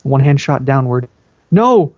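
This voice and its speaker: A man's voice: mid-range voice, little pitch movement, brisk, gravelly. A man reading a story aloud as an amateur dramatic narrator. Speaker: fan fiction reader. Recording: dull, roomy amateur recording.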